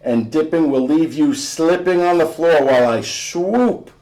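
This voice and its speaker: A man's voice: on the high side, a little slow, a little mellow, voice pushed up, poor audio. An American man voicing a cocky teenage boy basketball player in punchy, rhythmic verse from a storybook. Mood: boastful. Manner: rhythmic, punchy rhyming delivery.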